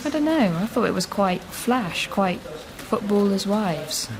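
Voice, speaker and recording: A chatty, laughing Londoner. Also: female voice, medium pitch, expressive, crowd noise. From Leeds and Bristol. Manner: chatty, quick to laugh, rambling. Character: chatty woman living in London, warm and talkative. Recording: radio drama with background noise.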